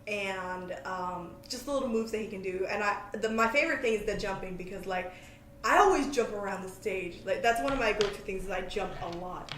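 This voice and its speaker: A woman with a mid-pitched voice hosts a casual podcast. She talks in a scatterbrained, jokey way and pokes fun at herself. The room is echoey, with faint background noise. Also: fairly quick, a bit bright. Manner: scatterbrained, self-deprecating, jokey. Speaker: podcast host. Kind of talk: casual, chatty podcast talk.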